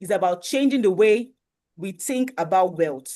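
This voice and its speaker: A woman's voice: mid-range voice, lively intonation, normal speed, dark tone. A woman hosting an online webinar over a video call, welcoming attendees and often asking "you understand?". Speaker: webinar host.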